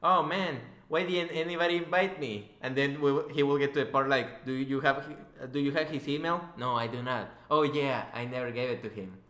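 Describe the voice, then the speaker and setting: Male interviewer, bright tone, teasing casual chat, echoey room sound. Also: medium pitch, fairly quick, hardly any pauses. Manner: teasing, sarcastic. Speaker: podcast interviewer. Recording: roomy, echoey voice recording.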